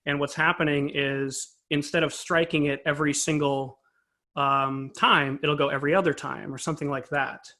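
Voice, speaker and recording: A man's voice: a little high, level tone, normal speed, sharp tone. A man being interviewed as an expert, giving an informal, analytical explanation and choosing his wording carefully. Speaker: interview guest, composer and conductor.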